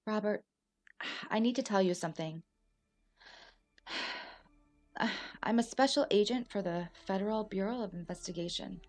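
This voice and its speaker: A woman acting in a radio play as a concerned, steady federal agent, questioning and reassuring. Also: mid-range voice, measured pace, long pauses, lightly acted. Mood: serious, confiding.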